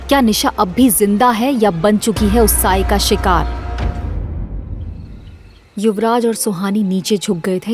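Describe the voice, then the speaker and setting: A woman narrating a scripted horror story in a measured, dramatic storytelling manner. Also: medium pitch, a little mellow.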